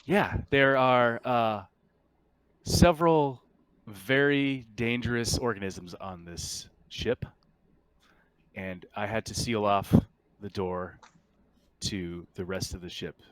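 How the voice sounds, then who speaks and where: A man voicing a polite, earnest android crewman in a tabletop sci-fi game, speaking in a measured, halting, matter-of-fact way. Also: lowish voice, fairly bright tone, dry recording. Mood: earnest, grave.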